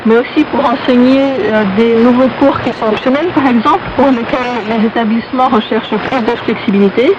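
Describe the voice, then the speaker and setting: A woman's voice: fairly high pitch, brisk, hardly any pauses, lo-fi sound, noisy background. A woman radio presenter delivering an explanatory news report over a shortwave broadcast.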